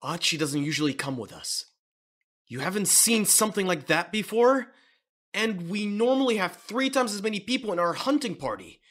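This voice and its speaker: Young monster hunter for manga audio drama, played by a woman: uneasy, urgent, very lively intonation, breathy-rough husk. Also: mid-range voice, normal speed. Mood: uneasy, questioning. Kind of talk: amateur audio drama acting.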